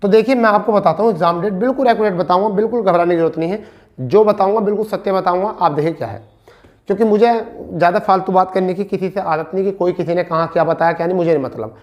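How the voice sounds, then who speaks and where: A man's voice: high voice, fast, highs cut off. A man teaching an informal online class, addressing students in a reassuring, instructive way and repeating key points for emphasis. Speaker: teacher giving exam updates to students.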